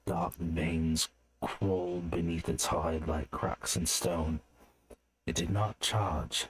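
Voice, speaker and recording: A man's voice: deep voice, takes it slowly. A man reading scripted fantasy story narration as a narrator.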